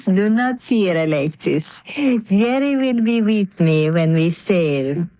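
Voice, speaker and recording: Brief: a cold scheming dancer for an old radio play, slow and mellow, low and mysterious. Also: female voice, animated, no echo, low-quality recording. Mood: menacing. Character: sinister foreign female dancer, cold and scheming. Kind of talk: 1930s radio drama acting.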